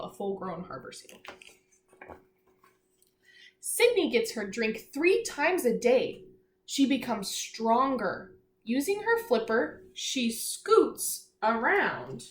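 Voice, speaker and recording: A woman teacher reading aloud to young children, pausing to explain simply, ask questions and encourage her listeners. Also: on the high side. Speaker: elementary school teacher. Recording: echoey room, faint background noise.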